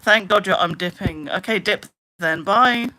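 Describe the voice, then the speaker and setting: A woman in her late twenties chatting casually on a live stream, rambling with lots of "like" and trailing into low mutters. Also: unhurried, bright, forceful tone. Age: 20s. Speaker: livestreamer.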